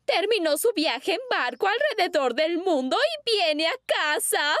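A woman with a Latin American Spanish accent playing a sweet, excitable mother dog in a children's cartoon, affectionate and full of exclamations. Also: extremely high pitch, measured pace, no room sound, studio quality, heavily acted. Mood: delighted, affectionate. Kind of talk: dubbed children's cartoon acting.

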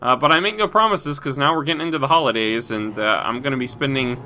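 A man's voice: mid-range voice, fast, pushed and bright, faint music behind. Stuffy-nosed man rambling over gameplay. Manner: rambling, thinks aloud with many fillers. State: stuffy from a cold. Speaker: Minecraft video host. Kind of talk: casual gameplay commentary.

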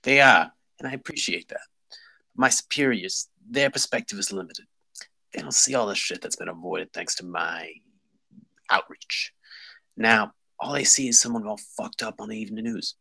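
A man acts out a suave police lieutenant in a drama read. The voice is low and very sharp-edged. He speaks deliberately, with smug menace and insinuation. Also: gravelly, full character voice, rough recording. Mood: veiled threat. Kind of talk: script table read.

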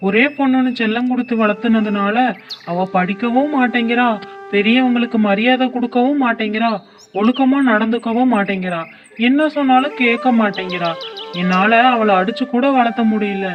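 A woman's voice: on the high side, moderate pace, voice pushed down, natural voice. A woman voicing a worried, helpless father who talks in a complaining way in a children's moral story. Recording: dull lo-fi sound with music underneath.

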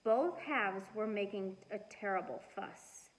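A middle-aged woman reading a storybook aloud and chatting to children in an expressive, deliberate way. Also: medium pitch, low-quality recording. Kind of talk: storybook read-aloud and casual chat to children. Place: living room at home.

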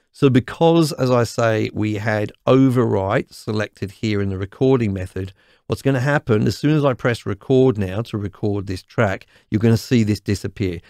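A man with a slightly croaky voice explains music software in a relaxed, chatty way, often checking in with "okay?". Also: on the low side, no echo, clean studio sound. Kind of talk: screen-recorded software tutorial.

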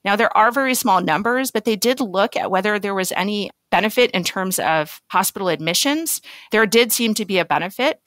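A woman speaking as an expert medical guest in an interview, explaining in an articulate, measured way. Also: medium pitch, a bit bright, no echo.

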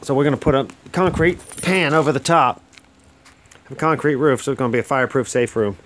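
A man's voice: mid-range voice, a little fast. A middle-aged home builder filming a casual, plain-spoken walkthrough of a construction site outdoors on a handheld phone, explaining as he goes with fillers and restarts. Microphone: handheld phone camera.

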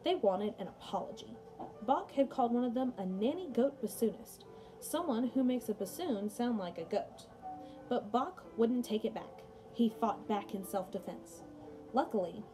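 High-voiced young woman teaching, chatty. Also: moderate pace. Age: young adult. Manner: chatty, informal asides between read passages. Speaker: music teacher. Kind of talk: casual read-aloud lesson for pupils. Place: small room at home, indoors. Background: music playing behind the voice.

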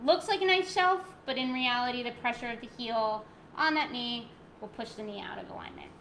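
A woman in her thirties teaching a live yoga class from her living room on a laptop mic, moving from soft guided cues to chatty talk with viewers. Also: a little high, animated, slight background noise, poor audio. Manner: soft, slow guided cues, then bubbly rambling chat. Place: living room at home, indoors. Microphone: laptop mic, webcam framing.

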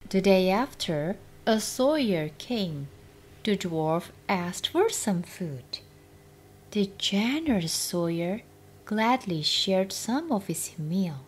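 A woman narrating a children's fairytale as storyteller, expressive and deliberate, with faint noise. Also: mid-range voice, breathy edge.

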